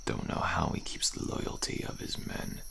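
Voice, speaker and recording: A man voicing a world-weary, bitter deserter soldier on the run in an audio roleplay, speaking in a gravelly, breathy murmur with halting, musing phrases. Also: background noise, close to an everyday voice. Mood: resentful. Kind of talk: audio roleplay, slow addressed monologue. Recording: faint outdoor night insect ambience.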